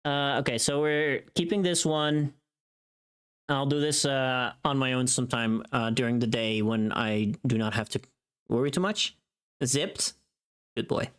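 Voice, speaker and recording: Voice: mid-range voice, a little slow, smooth. A streamer chatting casually on a livestream in a joking, sweary manner. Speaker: streamer making a voice pack.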